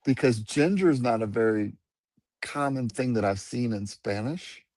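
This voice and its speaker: A man's voice: medium pitch, moderate pace, darkish tone, no echo. A man teaching Spanish in a casual chat, patient and spelling words out letter by letter. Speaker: guest Spanish speaker.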